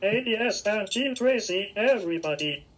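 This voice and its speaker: A man's voice: high-pitched, animated, normal speed, breathy edge, low-quality recording. A synthetic male text-to-speech voice playing a boyish stand-up comedian in a comedy script, with robotic delivery. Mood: stiff joke-telling.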